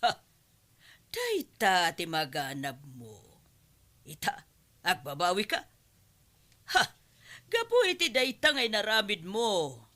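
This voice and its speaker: A woman's voice: mid-range voice, huge pitch swings, slow, pauses often, bright, forceful tone, full character voice. A woman playing an indignant, protective middle-aged mother in a radio drama, scolding and demanding answers. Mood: disappointed, scolding. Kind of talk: Ilocano radio drama acting.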